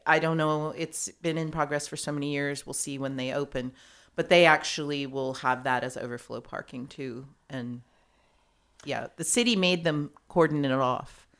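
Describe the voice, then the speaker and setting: A woman's voice: low voice, fairly even intonation, moderate pace, fairly bright tone. A woman who runs a theater, talking in an easygoing, chatty way in a casual conversation. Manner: chatty, easygoing, laughs often. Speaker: theater owner.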